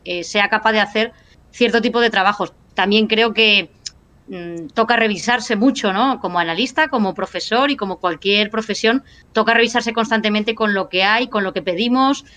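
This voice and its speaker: A woman's voice: mid-range voice, a bit bright. A woman lecturer and analyst talking in a casual panel discussion, thinking aloud with restarts and tag questions. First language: Spanish. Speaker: university lecturer and analyst guest.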